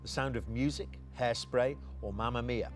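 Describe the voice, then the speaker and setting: A man hosting a television quiz in a brisk, upbeat manner. His voice sits at an ordinary middle pitch, and his delivery is lively as he reads out the questions. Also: faint music behind. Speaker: quiz show host.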